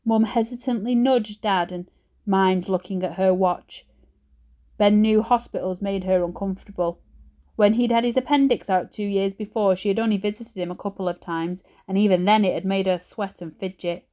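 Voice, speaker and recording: A woman's voice: medium pitch, steady tone, normal speed, dark tone. A woman narrating a children's storybook expressively, like a teacher reading aloud.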